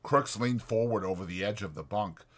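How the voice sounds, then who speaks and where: A man's voice: on the low side, normal speed, a bit bright. A man narrating a novel as an audiobook reader, in scripted storytelling style.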